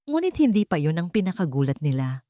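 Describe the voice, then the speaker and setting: A Filipino woman reads a scripted story with drama. Her voice sits a little low but moves widely and expressively in pitch. The recording is dry, with hardly any room sound. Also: sing-song, quick pace, warm tone, dry recording. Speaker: story narrator.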